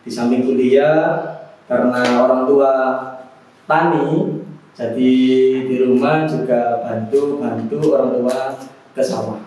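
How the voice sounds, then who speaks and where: Calm young male student recorded far from the mic in a boomy room. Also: takes it slowly, pauses often. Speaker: university student interviewee. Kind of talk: plain casual answers. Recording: very echoey room from off-mic, faint noise.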